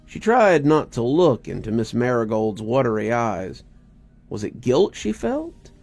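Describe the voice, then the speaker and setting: A man narrates a story slowly and dramatically, with plenty of gravelly fry in a mid-pitched voice. Music runs underneath the narration. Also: very animated, darkish tone.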